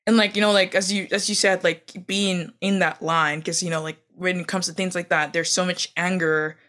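A woman co-hosting a podcast who rambles and hesitates, leaning on "like" in almost every phrase. Her voice comes across bright and edgy. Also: lowish voice, steady tone, moderate pace. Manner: rambling, heavy use of "like", hesitant. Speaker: podcast co-host. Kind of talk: casual chat.

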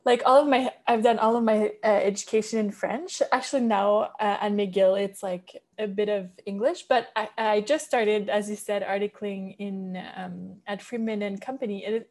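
A young woman from Winnipeg with a Franco-Manitoban accent, a guest chatting in a podcast interview with many fillers and restarts. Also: medium pitch. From Winnipeg, Canada. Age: young adult.

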